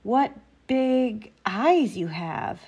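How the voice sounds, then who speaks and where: A woman's voice: a little high, animated, extremely slow, mellow, husky, voice pushed up, full character voice. A woman playing an innocent, trusting little girl in a storybook, speaking sweetly and politely. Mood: puzzled, wondering. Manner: sweet, polite, wide-eyed.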